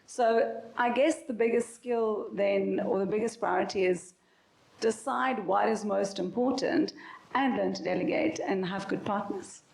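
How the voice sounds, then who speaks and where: A woman speaking frankly as a founder on a conference panel, with a loud voice. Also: mid-range voice, unhurried, gravelly. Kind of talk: candid panel answer. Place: conference venue. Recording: echoey event room.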